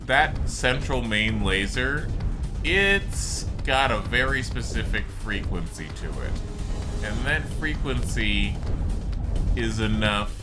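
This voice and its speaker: A man's voice: lowish voice, lively intonation, slow, over music. A man giving casual, rambling, explanatory commentary on a video game. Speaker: gaming video host.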